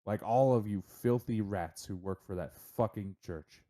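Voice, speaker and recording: A man's voice: fairly low pitch, unhurried, mellow, close to an everyday voice. A man voicing a hostile, bitter gunman who interrogates and accuses in a tabletop game. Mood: bitter, accusing.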